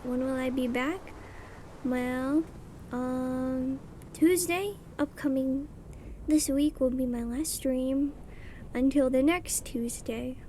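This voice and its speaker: Soft-voiced high young woman streamer. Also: fairly even intonation, slow pace, slight background noise, low-quality recording. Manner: chatty, rambling, self-deprecating, mutters settings under her breath. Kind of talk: casual chat while drawing on stream. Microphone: streaming mic.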